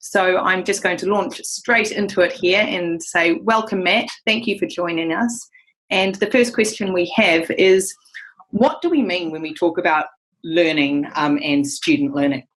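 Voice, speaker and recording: A woman's voice: on the low side, steady tone, a little slow, a bit bright, rough recording. A woman hosting an online interview, introducing her guest in a friendly, organised way. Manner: friendly and organised, with some fillers. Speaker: host.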